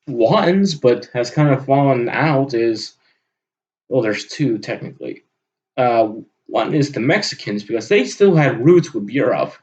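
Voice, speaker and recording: A man giving a rambling, unscripted monologue for an audio log, halting often and letting his sentences trail off. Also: mid-range voice, darkish tone, muffled recording. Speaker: vlogger recording an audio log.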